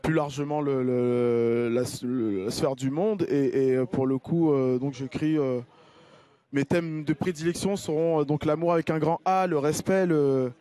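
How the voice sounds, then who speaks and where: A man's voice: fairly high pitch, fairly even intonation, measured pace, warm tone, clear, resonant tone. A man in his thirties, a musician whose first language is Lingala, chatting hesitantly in an interview into a handheld mic in an outdoor square. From Congo-Kinshasa. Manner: hesitant, many fillers and restarts. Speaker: musician guest. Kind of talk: casual interview chat. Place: outdoor square stage with colored drapes. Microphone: handheld mic held by host. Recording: guitar playing behind. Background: acoustic guitar.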